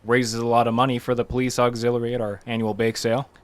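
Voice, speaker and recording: A man's voice: mid-range voice, level tone, fast talker, lightly acted. Man as small-town officer in radio comedy-drama: puffed-up, blustering, inept, mid-range voice. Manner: pompous, blustering, easily flustered. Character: bumbling, self-important small-town policeman.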